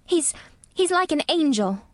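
A woman voicing a stubborn, sour young girl with a sharp, contrary manner in a storybook reading. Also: high voice, sing-song, a little slow, no echo, studio quality, voice pushed up. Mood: indignant.

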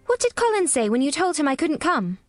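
Lady doing a fierce stubborn little girl from a storybook, high voice, really swoopy, sharp and contrary. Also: very animated, a little fast, dry recording, faint music behind. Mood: demanding.

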